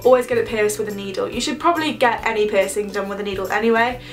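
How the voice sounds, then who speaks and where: A young woman with a cold talks casually to camera, her voice nasal and stuffy. She rambles along, filling gaps with ums. The mic sits a little way off, with soft music in the background. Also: medium pitch, fast, distant mic. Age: young adult. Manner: chatty, rambling with many ums. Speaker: vlogger.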